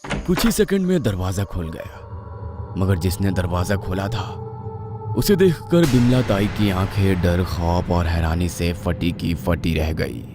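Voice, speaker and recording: A man's voice: deep voice, lively intonation, unhurried. A man narrating a scripted horror story in a dramatic storytelling style. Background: music.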